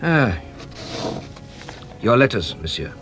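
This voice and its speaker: An actor playing a shrewd, playful French police inspector in a British radio drama, with a French accent and a teasing, theatrical delivery. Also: male voice, mid-range voice, big pitch swings, slow, music in the background. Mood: wry, curious.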